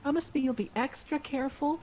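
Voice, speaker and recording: A woman plays a doting, anxious mother in a radio drama. Her voice is rather high and pleading, over faint background noise. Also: fast, a little mellow, natural voice. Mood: fretful, pleading. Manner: fretful, pleading. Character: doting, worried, tearful mother of a grown son. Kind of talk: radio play acting.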